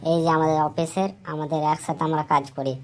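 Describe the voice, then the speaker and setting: A woman's voice: low-pitched, flat delivery, fast, a little mellow, a little hiss or hum, lightly acted. Cartoon husband, woman performer: doting, gullible, fussy, colloquial, repeats himself. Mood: polite. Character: gullible doting husband, adult male office worker. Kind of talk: comic Bengali cartoon dialogue.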